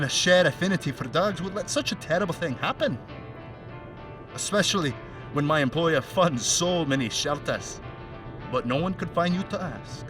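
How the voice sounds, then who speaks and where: A man playing a mocking, menacing crime henchman with a put-on Scottish accent in an audio play monologue, chatty and sardonic with threatening pauses. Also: high-pitched, recorded from a distance. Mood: grave menace. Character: menacing, mocking male crime henchman taunting a bound captive.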